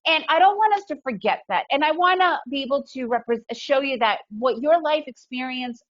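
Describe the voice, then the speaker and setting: A woman's voice: high-pitched, lively intonation, normal speed, fairly bright tone. A woman raised in the US, with Russian as her first language, gives an upbeat, emphatic webinar talk from home, often asking "right?". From Soviet Union, raised in the US. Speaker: webinar presenter, day trader. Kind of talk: webinar presentation. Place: home in Guatemala. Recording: dull lo-fi webinar audio.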